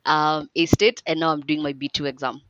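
A woman's voice: low voice, fairly even intonation, a little fast, no echo. Chatty young woman, lo-fi. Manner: chatty, rambling, with frequent fillers and restarts. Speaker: guest. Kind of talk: casual podcast interview. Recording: dull lo-fi dry recording.

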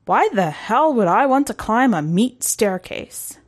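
A woman's voice: a little high, expressive, a little slow, breathy edge. A woman voicing a blunt, skeptical, no-nonsense working mother in a short story, with a dismissive manner. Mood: scornful. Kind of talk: storybook character dialogue.

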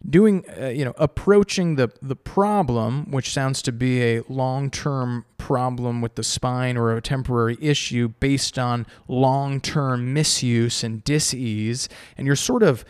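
A man's voice: medium pitch, unhurried, hardly any pauses, clean tone, no room sound. Dry-sounding rambling podcast host, a man. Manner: rambling summaries full of fillers. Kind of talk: casual podcast interview.

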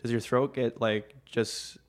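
A man's voice: medium pitch, steady tone, measured pace. A young man who coaches at a gym, chatting casually as podcast co-host and rambling with lots of fillers. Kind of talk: casual chat.

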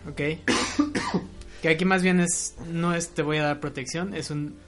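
A man's voice: on the high side, steady tone, a little slow, a bit bright, faint music behind. A young man with a Mexican accent, co-hosting a podcast and reacting with plenty of swearing. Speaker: podcast co-host. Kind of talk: casual chat.

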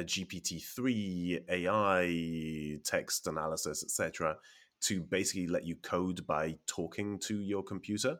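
A very low-voiced man hosts a casual tech podcast. His nose is stuffy from a cold, and he keeps things moving with a wry, easygoing tone. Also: a bit bright. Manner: wry, leads the discussion and hands off questions. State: congested from a cold. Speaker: podcast host.

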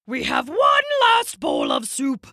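A crude blue goblin boss from a children's storybook, taunts in a slow, gravelly croak. The pitch lurches wildly up and down, and every phrase is stretched out with cruel, gloating relish. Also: female voice, huge pitch swings, bright, forceful tone, dry recording, studio quality, exaggerated voice. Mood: mock-sweet, teasing. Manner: drawn-out, mocking delivery. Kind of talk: storybook character voice.

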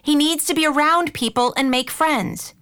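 A woman's voice: sits high, normal speed, fairly bright tone. A woman playing a caring but exasperated middle-aged mother and aunt in an audio drama, earnest and firm. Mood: earnest, pleading.

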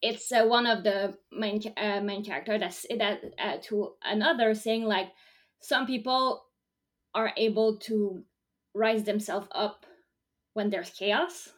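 A woman's voice: medium pitch, steady tone, measured pace. A woman co-hosting a casual chat, recapping a show, talking with many fillers and restarts and laughing often. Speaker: podcast co-host.

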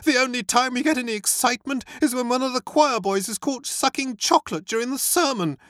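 A reader voicing a giddy young male aristocrat, breathless and exuberant, in a high, chirpy voice. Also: brisk, a bit bright, no room sound, clean studio sound.

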